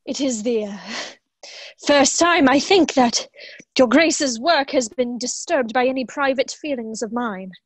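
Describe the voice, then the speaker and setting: High, slow, deferential secretary played by a woman. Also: no echo. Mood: shaken, apologetic. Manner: halting, formal. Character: stiff, deferential, flustered private secretary. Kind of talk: online play reading. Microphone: earphones on a video call.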